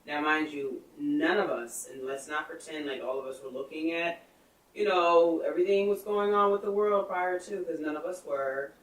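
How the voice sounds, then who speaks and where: A woman's voice: sits low, normal speed. A woman in her thirties co-hosting a podcast, explaining her views step by step in casual chat. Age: 30s. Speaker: podcast co-host. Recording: echoey room, far from the mic.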